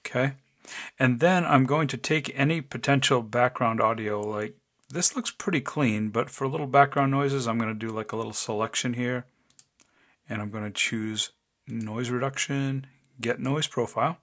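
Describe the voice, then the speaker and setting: A man with a deep voice, hosting a casual screencast tutorial and talking through each click step by step. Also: normal speed, fairly bright tone. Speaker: software tutorial host. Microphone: desk microphone, voice-over of screen recording.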